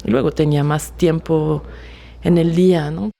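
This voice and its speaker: A French woman in her forties, speaking Spanish as a second language, recalls her life as a writer in a halting, reflective way with frequent restarts. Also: deep voice, fairly even intonation, vocal fry. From France. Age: 40s. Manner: soft-spoken, halting with fillers and restarts. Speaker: author interviewee. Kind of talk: reflective personal testimony.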